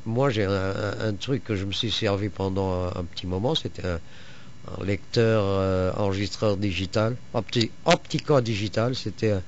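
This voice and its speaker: A man chatting casually as an amateur radio operator, halting often as he searches for words. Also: deep voice, dull audio, slight background noise. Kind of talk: casual chat over radio.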